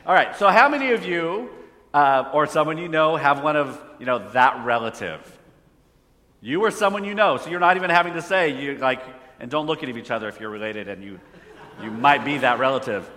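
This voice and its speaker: A man's voice: fairly high pitch, animated, a little fast, pushed and bright. A man preaching a conversational sermon, asking the audience questions. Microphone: phone hotspot for slides. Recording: echoey hall reverb.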